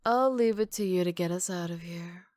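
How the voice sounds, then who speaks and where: A woman playing a flirty, cocky female villain who teases in an unhurried, smooth-talking way, in an ASMR roleplay. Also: on the low side, a little mellow, dry recording, clean studio sound, lightly acted. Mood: casual, teasing.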